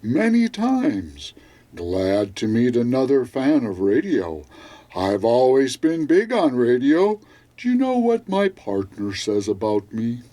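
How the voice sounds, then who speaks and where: A man's voice: mid-range voice, huge pitch swings, slow, dark tone, full character voice. A man playing a laid-back, teasing late-night seventies music DJ with a jokey, self-satisfied manner. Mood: breezy, welcoming.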